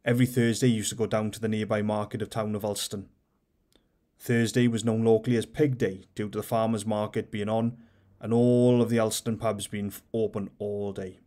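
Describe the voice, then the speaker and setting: A man reads a book aloud on his own channel, his voice sitting a little low. He keeps a steady, measured storytelling pace from sentence to sentence. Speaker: audiobook reader and channel host.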